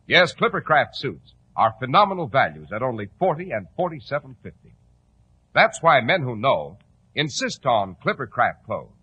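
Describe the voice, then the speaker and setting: An American man working as a radio announcer, giving a polished, emphatic sponsor pitch in 1940s style. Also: breathy edge. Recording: tinny, phone-like 1940s radio recording.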